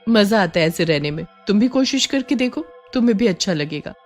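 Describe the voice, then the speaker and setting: A woman's voice: medium pitch, animated, brisk, soft background music, natural voice. A woman voicing a young cold witch who poses as a college girl, taunting and sinister, in a horror story. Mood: sly, teasing. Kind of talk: acted dialogue in horror audio story.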